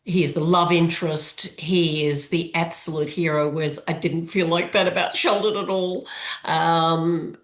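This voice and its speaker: A woman with an Australian accent, speaking as a guest author in a webinar, measured and explanatory. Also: low-pitched, fairly even intonation, reverberant. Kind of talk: webinar conversation. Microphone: video call.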